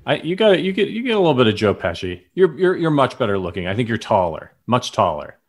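A man's voice: medium pitch, sing-song, fast talker. A man co-hosting a podcast in casual, playful chat, often laughing. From lives in Chicago. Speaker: podcast co-host.

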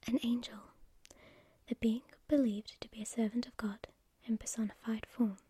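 A woman narrates a story in a breathy, husky whisper that sits a little high. Her reading is slow, hushed and deliberate, and her voice is mellow and soft-edged. The recording is close and dry, with no room sound. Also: fairly even intonation, no room sound.

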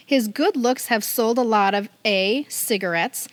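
A woman's voice: on the high side, a little slow, no room sound. A middle-aged woman co-hosting a podcast, chatting casually and reading aloud with a playful, theatrical quizmaster delivery. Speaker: podcast co-host. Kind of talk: casual chat, reading quiz questions aloud.

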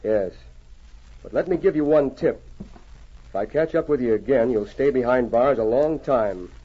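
An actor playing a firm, steady Mountie sergeant with a measured, authoritative way of talking in an old radio drama. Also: male voice, medium pitch, slightly rough, close to an everyday voice. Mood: calm, firm. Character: firm, steady male Mountie sergeant of the gold-rush Yukon. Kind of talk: 1940s radio drama acting. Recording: muffled, noisy old radio recording.

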